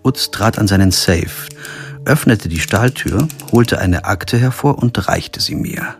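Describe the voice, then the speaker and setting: A man's voice: lowish voice, lively intonation, slow pace. A man playing a sober, understated lawyer who narrates a radio crime drama in standard German. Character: male lawyer narrating his own crime investigation. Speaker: actor playing narrator and lead. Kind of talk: radio play narration and dialogue. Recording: music behind the voice.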